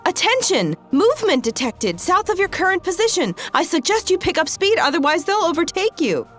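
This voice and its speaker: Very high-pitched tactical adviser. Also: female voice, sing-song, fairly quick, soft background music. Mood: urgent. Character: alert female tactical adviser in sci-fi game. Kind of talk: video game acting.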